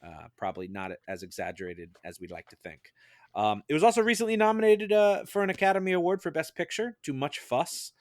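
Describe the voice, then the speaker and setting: A man's voice: on the high side, big pitch swings, brisk, dry recording, studio quality. A man hosting a podcast in casual chat, with a teasing, interjecting manner. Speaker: podcast host.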